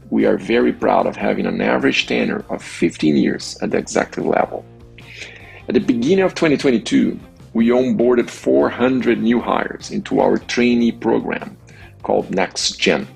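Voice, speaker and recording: A man's voice: fairly low pitch, gravelly, husky. A man delivering a prepared corporate presentation as a company executive.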